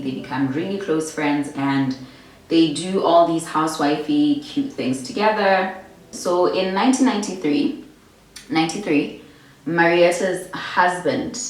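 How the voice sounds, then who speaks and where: A young woman with a Botswana accent talks through a makeup routine in a small bedroom, chatty and animated, forever saying "like". Her voice sits low, and it bounces around a very echoey room from a little back from the mic. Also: far from the mic. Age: young adult. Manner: chatty, lots of "like" and "my girl", animated storytelling. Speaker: beauty vlogger. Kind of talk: casual chat while doing makeup.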